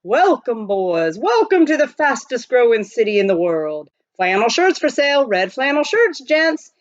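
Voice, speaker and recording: Woman doing old-time hawker guy yelling sales pitches, high, loud, super animated, storybook style. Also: a little slow, no room sound, lo-fi sound. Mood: brash, selling. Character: loud, brash male street hawkers and auctioneer.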